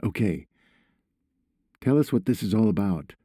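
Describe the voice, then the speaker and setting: Audiobook father, played by a male narrator: stern yet protective, earnest, urgent, lively pitch movement. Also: a little slow, no echo, clean studio sound. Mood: concerned. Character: worried father, stern but caring, firm and protective.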